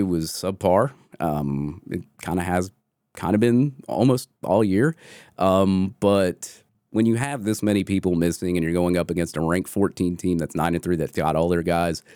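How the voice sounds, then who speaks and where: A man hosting a sports podcast, casually recapping a game, his voice hoarse from a cold. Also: low voice, a little mellow, dry recording, studio quality.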